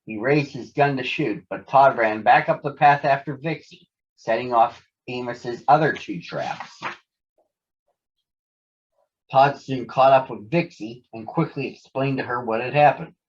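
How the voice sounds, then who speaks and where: A man's voice: mid-range voice, moderate pace, muffled recording. A man narrating a children's story from a script as a storybook reader.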